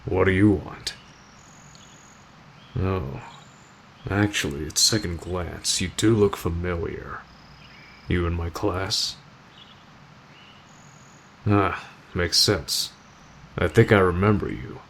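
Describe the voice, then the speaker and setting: A man playing an aloof, stoic teenage delinquent boy, curt and deadpan, in an ASMR roleplay addressed to the listener. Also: deep voice, slow, gravelly, pauses often, slight background noise, heavily acted.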